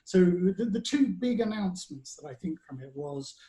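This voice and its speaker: A man with a somewhat high voice hosting a podcast interview. He circles around his questions, stumbling and restarting before he lands on what he means. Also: animated, normal speed, dark tone. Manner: rambling, stumbling over phrasing. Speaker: podcast host.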